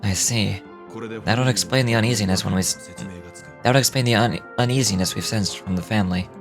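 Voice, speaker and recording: A thoughtful, composed male analyst, read by a man, speaks in a fairly low voice, formal and measured. Music plays clearly behind the video game dialogue. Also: close to an everyday voice. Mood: pensive, serious. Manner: formal, measured, stumbles over scripted words.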